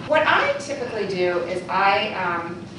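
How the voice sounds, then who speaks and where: A woman explains a device patiently and plainly, pausing on frequent ums. Her voice comes from well away from the mic in a very echoey meeting room. A clear hiss of noise runs underneath. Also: mid-range voice, big pitch swings, recorded from a distance. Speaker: occupational therapist demonstrating a device. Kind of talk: casual demonstration and Q&A.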